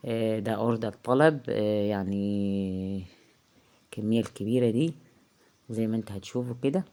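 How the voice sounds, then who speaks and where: A woman with an Egyptian accent, hoarse from a heavy cold, chatting casually through a cooking tutorial in her home kitchen, halting often with drawn-out filler sounds. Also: rumbling low, mellow. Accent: Egyptian Arabic. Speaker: home cook vlogger. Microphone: phone.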